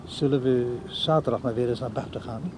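A man acting in a radio play as an insistent, needy old man who talks haltingly to a much younger woman. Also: medium pitch, background noise, lightly acted. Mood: pleading, resigned. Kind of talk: naturalistic radio play dialogue.

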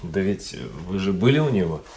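An actor playing a reserved, polite gentleman traveller who narrates and speaks in a literary radio play, measured and reflective. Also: sits low, lively intonation. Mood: restrained courtesy. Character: reserved, courteous gentleman traveller telling his story. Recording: noisy old radio drama recording.

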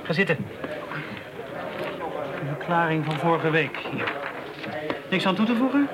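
An actor playing a cynical, wisecracking police detective in a radio play, speaking in a flippant, offhand, sardonic manner. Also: male voice, fairly high pitch, expressive, measured pace, very noisy. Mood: offhand, businesslike. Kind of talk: naturalistic radio play acting.

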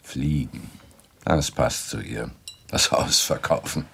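An actor in a radio play, playing a bitter, tipsy, rambling middle-aged man who is cynical about love, with a husky voice. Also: bright, forceful tone, full character voice. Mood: scornful, confiding. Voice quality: deep gravelly, husky voice. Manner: rambling, halting, grumbling, swinging into outbursts.

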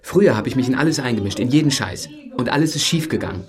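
An actor playing a reserved radio host in his thirties, hesitant and understated, with a husky voice, in a naturalistic radio drama. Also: mid-range voice, lively intonation, fast, lightly acted. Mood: defensive.

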